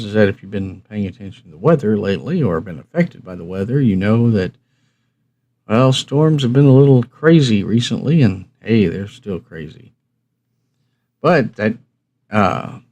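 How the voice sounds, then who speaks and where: A man's voice: fairly low pitch, darkish tone, lo-fi sound. A man hosting a hobby live stream, explaining things in a casual, folksy way and answering his own questions. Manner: folksy, conversational, asks himself questions and answers them. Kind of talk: casual instructional livestream talk.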